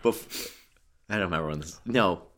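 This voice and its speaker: A man's voice: low-pitched, moderate pace, a bit bright, a little hiss or hum. A man in his twenties co-hosting a podcast, rambling and joking at his own expense. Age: 20s. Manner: joking, rambling, self-mocking. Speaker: podcast co-host. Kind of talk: casual chat among friends.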